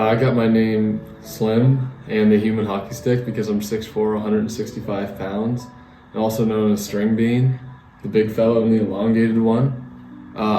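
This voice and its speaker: Young man as a flat, mellow hockey player. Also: on the low side, lightly acted. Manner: flat, hesitant reading. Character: tall, lanky 1920 hockey right winger. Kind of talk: scripted mock interview answers. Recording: echoey room, distant mic, faint music.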